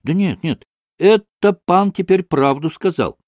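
A man voicing an excitable, childlike young gentleman in a storybook, exclaiming eagerly and argumentatively in a ringing voice. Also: on the high side, unhurried, no echo, studio quality, voice pushed up, exaggerated voice. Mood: insistent, excited.

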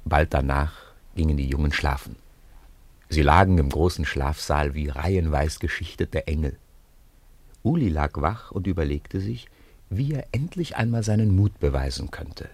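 Male storyteller, low voice, dry close sound, narrating children's radio drama. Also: animated, moderate pace, darkish tone, husky, no room sound.

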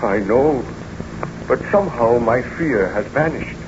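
A man's voice: medium pitch, lively intonation, a little slow, natural voice. An actor playing a guilt-stricken, unstable male scientist in a melodramatic 1930s radio drama. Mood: anguished, hopeful. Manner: melodramatic, formal. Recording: muffled, noisy 1930s radio recording.

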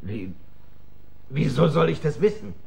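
Cowering, creaky high-voiced writer. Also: male voice, big pitch swings, a little slow, dark tone, full character voice. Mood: hesitant, subdued. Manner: stammering, evasive, whining. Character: cowering, self-pitying great writer, drunk and afraid. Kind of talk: radio drama acting.

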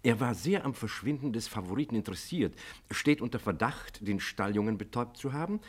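An actor playing a confident male police inspector in a radio play, husky and matter-of-fact. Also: medium pitch, expressive, fairly quick. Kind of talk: German radio play dialogue.

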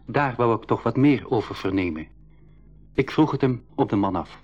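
An actor playing an inquisitive boy adventurer who tells his story in a school radio drama, in an earnest, measured way. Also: medium pitch, animated, a little mellow, lo-fi sound, close to an everyday voice. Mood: reflective. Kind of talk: scripted narration and dialogue in 1980s school radio drama.

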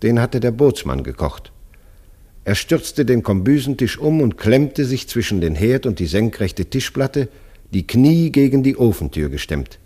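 A man's voice: medium pitch, big pitch swings, a little slow, dark tone, husky. A man narrating a literary radio play as a scripted story.

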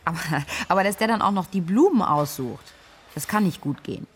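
An actress playing a scornful, husky-voiced woman commenting in an interview-like radio drama. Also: fairly low pitch, lively intonation, brisk. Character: scornful, embarrassed woman judging the bereaved men.

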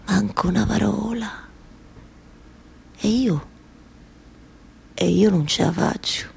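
An actress playing a weary, talkative weaver wife in a theatrical monologue, speaking colloquially with a Roman accent and repeating phrases haltingly. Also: female voice, mid-range voice, creaky, a little mellow, husky, full character voice. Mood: anguished. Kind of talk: theatrical monologue for radio theatre with live music.

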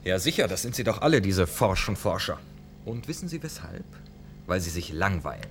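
A man's voice: low voice, very animated, brisk, slight background noise. A man playing a suspicious starship second-in-command in a science-fiction radio drama, sardonic and officious. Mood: sardonic, assured.

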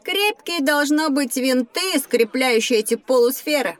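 A woman's voice: high voice, unhurried, no echo. A woman voicing an eager, inquisitive young boy, a professor's pupil in an educational audio drama. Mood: curious. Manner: eager, expressive.

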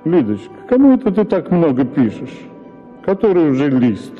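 An actor reading a story for radio as a husband, lecturing in an exasperated tone. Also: male voice, fairly high pitch, sing-song, moderate pace, faint music behind. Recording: tinny radio broadcast with hall reverb.

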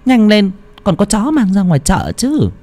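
A man voicing a frantic middle-aged village wife in a shrill, pleading falsetto. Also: wildly swooping pitch, normal speed, warm tone. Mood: bossy.

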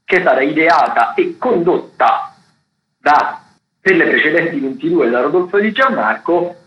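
A man giving a casual, digressive radio rundown of theatre listings as a critic, sometimes hesitating and correcting himself. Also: medium pitch. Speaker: theatre critic and guest contributor. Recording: roomy, slightly dull radio sound.

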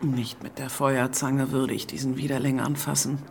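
A woman's voice: very deep voice, little pitch movement, moderate pace, darkish tone, slight background noise. An actress playing a proud, resolute and scornful woman of politics in a radio play, speaking intensely.

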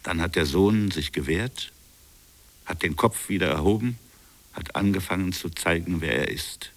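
Guy playing a solemn colonial official in a radio drama, really low voice, measured and deliberate storytelling. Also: natural voice. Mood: grave, reflective. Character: grave colonial official recounting a buried crime. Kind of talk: literary radio drama monologue.

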